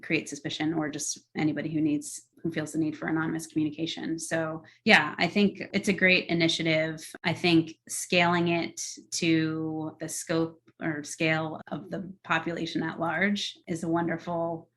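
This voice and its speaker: A woman's voice: deep voice, little pitch movement, normal speed, few pauses, fairly bright tone. A middle-aged woman answering podcast interview questions in an articulate, earnest, enthusiastic way. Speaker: guest, tech policy executive.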